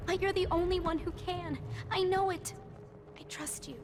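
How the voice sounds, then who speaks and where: A woman's voice: very high voice, steady tone, normal speed, music in the background. A woman voicing a frightened, trusting young girl in a video game, earnest and urgent. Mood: pleading. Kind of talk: dramatic video game voice acting.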